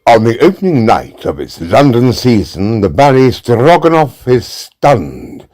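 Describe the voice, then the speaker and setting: A man giving a measured episode introduction at the start of an audiobook. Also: mid-range voice, very animated, a little mellow, faint music behind. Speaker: episode announcer.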